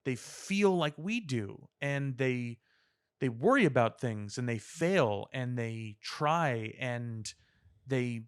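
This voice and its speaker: A man co-hosting a podcast, talking earnestly and articulately in casual discussion, with elaborate words and deliberate pauses. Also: medium pitch, lively intonation, clean tone. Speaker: podcast co-host. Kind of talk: opinionated casual pop-culture discussion.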